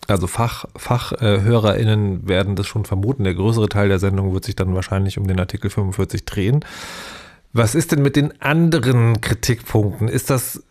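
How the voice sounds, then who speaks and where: A man's voice: on the low side, steady tone, brisk, darkish tone. Curious joking male show host. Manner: curious, joking, asks clarifying questions with many restarts. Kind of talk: casual moderated talk.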